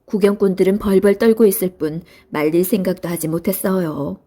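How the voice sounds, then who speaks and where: A woman's voice: fairly low pitch, fairly quick, warm tone. A woman narrating a children's storybook in a scripted read-aloud style.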